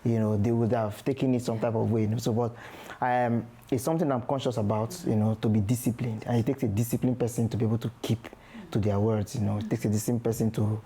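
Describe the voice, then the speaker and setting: A man, a guest father, talking slowly with many ums and restarts. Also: medium pitch, little pitch movement, warm tone. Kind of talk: candid podcast conversation.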